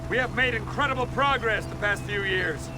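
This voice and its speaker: A man's voice: high voice, moderate pace, background noise, lightly acted. A man playing a boastful government agent, proud in his speeches and panicky under fire. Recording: game battle with loud gunfire.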